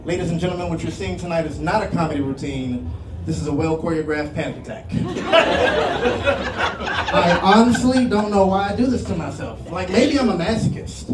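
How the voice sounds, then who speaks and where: A man's voice: high-pitched, brisk. A nervous man with a lisp doing a self-deprecating stand-up comedy set on a club stage. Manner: self-deprecating, rambling asides to the crowd. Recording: roomy club sound from a distance, loud crowd laughter. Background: audience laughter and room noise.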